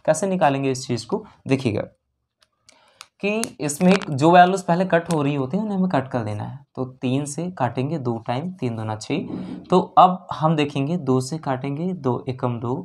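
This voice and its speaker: A man's voice: mid-range voice, normal speed, darkish tone. A man teaching maths step by step, asking questions and answering them himself, repeating key steps and mixing in English terms. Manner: mixes English terms into speech. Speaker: maths teacher for exam preparation. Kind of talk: spoken maths lesson, working through questions.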